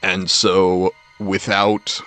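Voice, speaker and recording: A man's voice: low voice, flat delivery, very slow, fairly bright tone. A young man chatting casually to stream viewers, telling rambling, self-deprecating personal stories with casual swearing. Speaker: game livestreamer. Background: faint game music.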